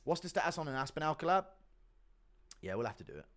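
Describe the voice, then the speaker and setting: A man's voice: fairly high pitch, big pitch swings, fast, fairly bright tone. A man hosting a casual livestream, reading donations and answering chat in a blunt, profane, offhand way. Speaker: livestream host.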